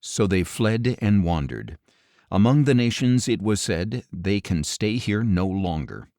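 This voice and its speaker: Male scripture narrator, lower register, solemn and deliberate phrasing, very dry studio-style sound. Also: warm tone, no echo. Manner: measured, grave delivery with deliberate phrasing. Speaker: audiobook narrator.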